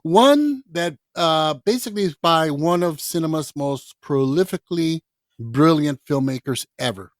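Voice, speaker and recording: A man hosting a casual film podcast, excitable, laughing often and swearing freely. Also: on the high side, normal speed, dry recording, clean studio sound. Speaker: podcast host.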